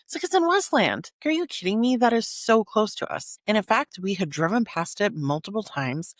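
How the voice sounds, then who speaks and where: A woman's voice: mid-range voice, sing-song, normal speed, no room sound, clean studio sound. A woman hosting a podcast solo, narrating in a conversational, earnest and emphatic storytelling style. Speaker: podcast host.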